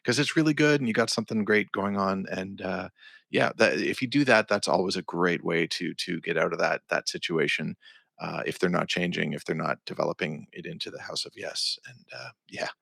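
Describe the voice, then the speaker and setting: A man's voice: deep voice, fairly quick, creaky, dry recording, studio quality. A middle-aged Canadian man, a songwriter and producer, chatting in an interview and acting out quotes as he tells stories. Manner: animated storytelling, acting out quotes, restarts. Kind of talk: casual interview chat.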